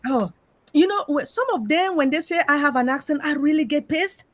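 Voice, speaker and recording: A woman's voice: high voice, expressive, moderate pace, no room sound. A middle-aged woman with an African accent, speaking emphatically in an informal discussion and putting rhetorical questions. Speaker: panel member in an open discussion.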